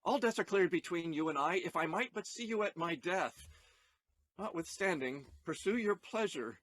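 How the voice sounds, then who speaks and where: Man acting earnest young lover, Shakespearean audio play, declamatory, animated high voice, dry close sound. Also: moderate pace, no echo. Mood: sorrowful, tender. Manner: theatrical, declamatory. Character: Bassanio, earnest young gentleman lover, distraught for his friend.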